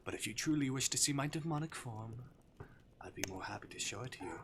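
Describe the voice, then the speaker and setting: A man's voice: mid-range voice, expressive, quick pace, lightly acted. Man voicing a theatrical, teasing man-eating demon, noisy background. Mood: smooth menace. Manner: exaggerated, mock-courteous, teasing menace. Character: playful theatrical man-shaped arctic demon who eats humans. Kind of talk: visual novel character voice acting. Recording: clear background noise.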